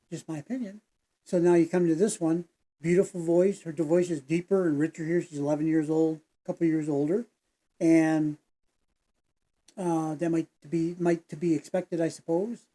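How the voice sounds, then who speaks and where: Mellow-voiced rambling man. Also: fairly high pitch. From United States. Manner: meandering, folksy, digressive with many fillers. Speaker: reaction video host. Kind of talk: casual rambling commentary.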